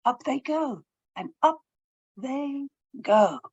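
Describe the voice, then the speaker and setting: A woman's voice: high-pitched, animated, takes it slowly, darkish tone, husky, no room sound, dull audio, voice pushed up, heavily acted. A woman voicing joyful little children in a read-aloud storybook, exclaiming in a raised, gleeful voice. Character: excited flying young children, joyful.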